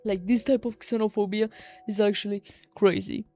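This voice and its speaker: A teenage girl with a Romanian accent talks in a soft-edged, mellow voice over background music. She is venting casually, exasperated and emphatic, with plenty of slang and swearing. Her pitch sits in an average range for a young woman. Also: steady tone, normal speed. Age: teenager, high schooler. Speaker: vlogger. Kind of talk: casual personal rant.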